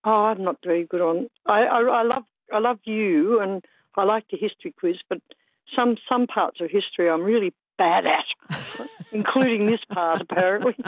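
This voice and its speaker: A woman's voice: medium pitch, moderate pace, no echo. A woman chatting casually and self-deprecatingly as a caller to a radio quiz, on a narrow phone line.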